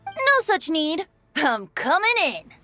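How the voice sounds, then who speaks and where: A woman's voice: high-pitched, wildly swooping pitch, slow, bright, forceful tone, soft background music, full character voice. A woman voicing a whimsical, carefree boyish bard in a video game, with airy, flowery, theatrical phrasing. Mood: breezy, delighted.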